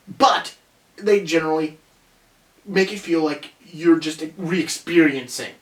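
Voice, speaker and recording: A man hosting a casual countdown review video, rambling and chatty as he corrects himself along the way. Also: a little high. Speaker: host of a movie review video. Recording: roomy echo, distant from microphone.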